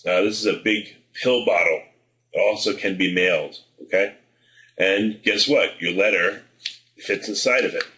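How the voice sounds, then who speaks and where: A man's voice: low voice, normal speed, pushed and bright. A man presenting informal marketing advice in a direct, persuasive, plain-spoken way. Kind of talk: informal sales advice to camera. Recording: roomy indoor echo.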